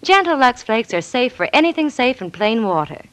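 A woman's voice: on the high side, sing-song, normal speed, fairly bright tone. A woman with an American accent giving an instructive, scripted 1940s radio advertisement. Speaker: commercial spokeswoman.

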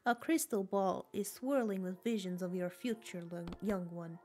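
A woman's voice: medium pitch, moderate pace, mellow, faint music behind, natural voice. A woman putting on the voice of an old female fortune teller in a video game, with a loose Russian accent and a theatrical, mystical manner.

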